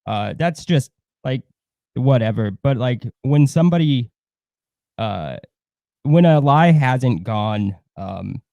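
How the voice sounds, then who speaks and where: A man's voice: mid-range voice, animated, slow pace, creaky, warm tone, no room sound, dull audio. A man hosting a livestream with casual, opinionated chat, blunt and given to swearing. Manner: blunt, profane. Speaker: livestream host.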